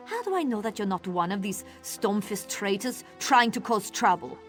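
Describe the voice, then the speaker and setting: An actress playing a wary, stubborn female guard officer in a fantasy video game, speaking in a firm, measured, admonishing way. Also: mid-range voice, lightly acted. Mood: skeptical, grudging. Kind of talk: video game dialogue acting. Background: music.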